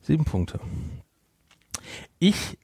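A man's voice: very slow, mellow, no room sound. A man hosting a casual podcast discussion. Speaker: podcast host.

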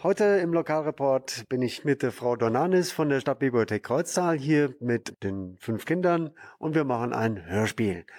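A man's voice: mid-range voice, darkish tone. Male local radio reporter.

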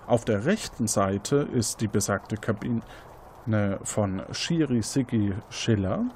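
A man's voice: on the low side, animated, takes it slowly, darkish tone, a little hiss or hum. A man, improvising scene descriptions as game master of an interactive mystery game, often correcting himself.

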